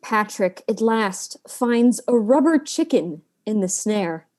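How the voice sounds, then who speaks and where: A woman narrating a comic mystery audio drama from a script, in a measured storyteller's way. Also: medium pitch, lively intonation, a little mellow.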